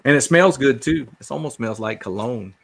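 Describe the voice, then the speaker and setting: A man's voice: medium pitch, lively intonation, normal speed, vocal fry, fairly bright tone, a little hiss or hum. A man chatting casually as a guest on a podcast. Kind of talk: casual chat.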